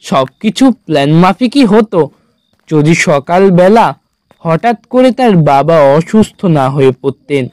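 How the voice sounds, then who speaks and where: Male narrator, horror cartoon storytelling, high-pitched, unhurried, measured and dramatic. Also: animated, darkish tone, no echo.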